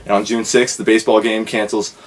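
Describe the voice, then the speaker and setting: A man's voice: fairly low pitch, little pitch movement, fairly quick, slight background noise, poor audio. A man playing a sports reporter in a casual student skit newscast, plainly reading out facts.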